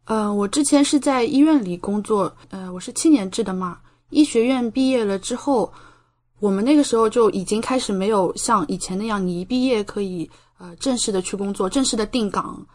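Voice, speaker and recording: A woman's voice: medium pitch, a little fast, darkish tone. Young woman explaining things conversationally. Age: young adult. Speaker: podcast guest, doctor.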